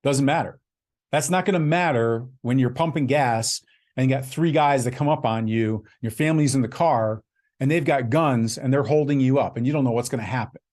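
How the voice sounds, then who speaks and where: A man's voice: mid-range voice, brisk, a little mellow. A man in his fifties hosting a podcast, delivering a blunt, emphatic motivational monologue. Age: 50s. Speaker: podcast host.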